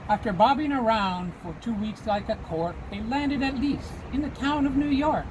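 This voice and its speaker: A woman reading rhyming verse aloud for children, with deliberate, dramatic phrasing. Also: a little high, expressive. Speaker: storybook reader. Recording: clearly noisy background.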